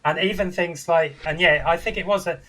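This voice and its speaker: A man's voice: a little high, fairly quick, noisy background. A man talking casually as a panelist on a film review podcast.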